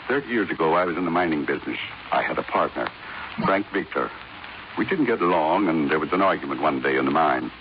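A man's voice: rumbling low, expressive, quick pace. A man acting a guarded, blunt older business magnate in a 1950s radio drama, speaking imperiously. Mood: guarded, confiding. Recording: dull, lightly noisy 1950s radio recording.

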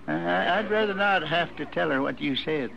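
A man's voice: fairly high pitch, normal speed, vocal fry. Meek, nervous circus man. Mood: nervous, sheepish. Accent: American. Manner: hesitant, apologetic, rustic grammar. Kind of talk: 1950s radio western acting. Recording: dull radio recording, crowd behind.

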